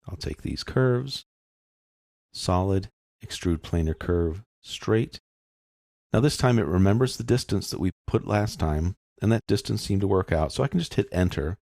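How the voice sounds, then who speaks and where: A man calmly narrating a screen-recorded software tutorial, explaining each step as he goes. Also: sits low, gravelly, no echo, studio quality. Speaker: software instructor.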